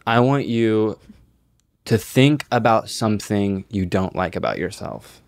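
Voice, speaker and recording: A man's voice: on the low side, lively intonation, unhurried, creaky, no echo. A young man co-hosting a podcast in casual comedic chat, joking with plenty of slang and swearing. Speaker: podcast co-host.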